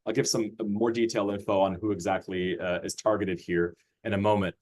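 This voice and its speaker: A man from Austria walks teachers through a curriculum on a video call. His voice sits a little low, and he speaks in a friendly, unpolished way, often pausing on fillers and restarting his sentences. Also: little pitch movement, a little mellow. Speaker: curriculum presenter. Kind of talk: webinar presentation.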